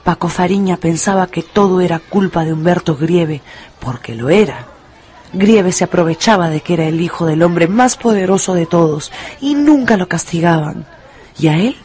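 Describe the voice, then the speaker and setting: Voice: mid-range voice, a little mellow, a little hiss or hum, close to an everyday voice. A narrator reading a scripted, dramatized story for a radio drama.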